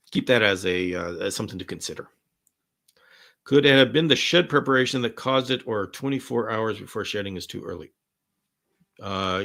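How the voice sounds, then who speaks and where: A man hosts a live question session, answering viewers in a loose, enthusiastic ramble full of false starts. His tone is bright and forward, though his voice sounds worn and is starting to go hoarse. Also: medium pitch. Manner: enthusiastic, rambling with frequent restarts. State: voice going hoarse. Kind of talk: live Q&A chat.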